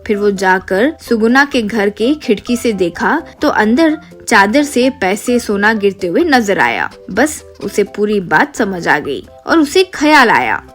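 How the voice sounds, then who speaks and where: A woman reading scripted narration for an animated moral story. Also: mid-range voice, unhurried, few pauses. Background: light music.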